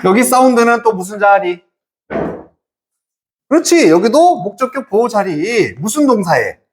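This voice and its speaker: Guy teaching an english class, pretty high voice, really animated pitch, keeps quizzing then answering himself. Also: measured pace. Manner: asks and answers own questions, checking understanding; emphatic, informal teacherly address. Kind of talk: explanatory exam-prep lecture.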